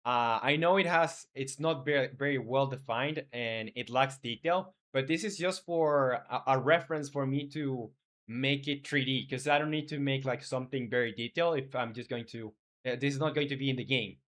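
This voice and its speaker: Guy streaming his game dev, average pitch, casual and kinda hesitant, chatting with viewers. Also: normal speed, a bit bright. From Chile. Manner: informal, hesitant, reads viewer messages aloud and replies. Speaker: game developer live streamer. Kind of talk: casual livestream chat.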